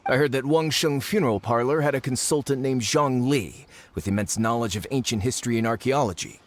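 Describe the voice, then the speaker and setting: An actor playing a scheming foreign archaeologist who speaks politely and persuasively, in a game cutscene. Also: male voice, medium pitch, a little fast, lightly acted. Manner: polite, persuasive, slyly eager. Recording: game audio with music.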